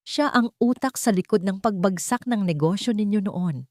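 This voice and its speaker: A woman voicing a grave, gently calming elderly grandmother in a dramatic story. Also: medium pitch, moderate pace, dry recording, studio quality, voice pushed up, lightly acted.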